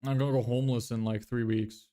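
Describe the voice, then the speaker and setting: Smooth-voiced young man livestreaming. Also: medium pitch, flat delivery, fast talker, warm tone. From Lithuania. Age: 20s. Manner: thinks aloud, self-correcting, frequent fillers. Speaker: livestreamer building a business. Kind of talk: casual livestream chat reading viewer questions.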